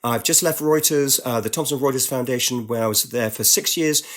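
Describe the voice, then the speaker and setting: A man's voice: mid-range voice, a little fast. A middle-aged English man from Devon, chatting as a podcast guest with dry humour and frequent laughs. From Devon, southwest England. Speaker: podcast guest, journalist and author. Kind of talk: lively conversational interview.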